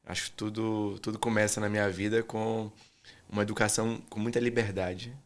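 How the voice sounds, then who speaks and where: A man from Salvador with a Bahian accent, a guest artist speaking thoughtfully and softly in an interview, often pausing with fillers. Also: on the low side, level tone, background noise. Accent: Bahian Portuguese. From Salvador, Bahia, Brazil. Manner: soft-spoken, thoughtful, trails off with fillers. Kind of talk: reflective interview conversation.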